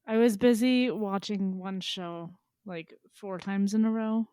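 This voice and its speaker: Female co-host, medium pitch, blunt casual chat, dry sound without room. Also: steady tone, a little slow, dark tone, clear, resonant tone, no room sound. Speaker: podcast co-host.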